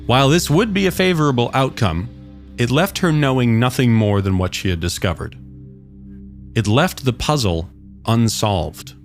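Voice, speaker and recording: A man reading his own science fiction short story as a scripted audiobook narration. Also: medium pitch. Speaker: author and narrator of short stories.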